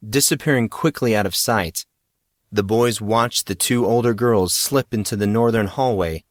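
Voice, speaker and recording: A man's voice: lowish voice, fairly bright tone, no echo, clean studio sound. A man reading scripted fiction as the narrator of a story.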